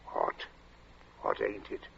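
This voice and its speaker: A man acting as a heat-worn, chatty working-class gravestone carver in a 1940s-style radio drama, with folksy, unhurried talk. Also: slight background noise, lightly acted. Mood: overheated, oppressed. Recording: muffled old radio drama recording.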